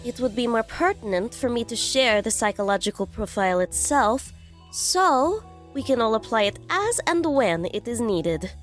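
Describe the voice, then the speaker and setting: Girl acting as a brainy formal profiler lady, kinda high voice, lectures precisely, audio drama with music under it. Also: animated, moderate pace, natural voice. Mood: cool, analytical. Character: intelligent, coolly analytical female profiler, formal and methodical.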